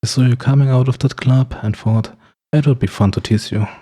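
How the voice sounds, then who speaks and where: Husky, mellow, snarky male villain, acted by a man. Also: medium pitch, steady tone, brisk, close to an everyday voice. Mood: flirty, teasing. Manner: sarcastic, playful, bantering, with chuckles. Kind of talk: ASMR roleplay monologue to the listener.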